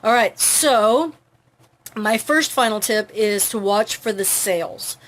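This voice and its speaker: A woman's voice: medium pitch, slow, fairly bright tone. A woman hosting an informal live advice broadcast, instructive and earnest, often checking in with the listener as she explains. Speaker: host of a live advice broadcast for truck drivers. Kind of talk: informal live tips talk to camera.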